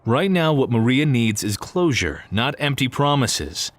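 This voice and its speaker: A man acting as a gruff, guarded police detective burdened by grief in an audio crime drama. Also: medium pitch, very animated, a little slow. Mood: stern.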